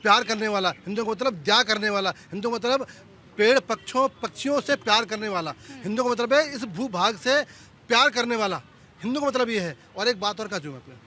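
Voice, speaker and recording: A man's voice: sits high, expressive, fairly quick, pushed and bright. A man giving an impassioned speech at a street debate, speaking in repeated, rhythmic phrases. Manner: declamatory, rhythmic repetition. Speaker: debate participant.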